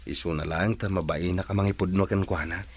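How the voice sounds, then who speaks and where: A man's voice: deep voice, fairly even intonation, brisk, breathy edge, no room sound. A man with an Ilocano accent playing a polite, smitten family driver in a radio drama. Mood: polite, friendly. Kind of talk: Philippine radio drama acting.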